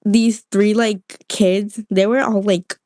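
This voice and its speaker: A woman's voice: medium pitch, flat delivery, takes it slowly, warm tone, no room sound, studio quality. A young woman from Utah whose first language is Spanish, chatting casually on a podcast and filling her speech with "like". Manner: fills speech with "like". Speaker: podcast co-host. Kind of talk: casual chat.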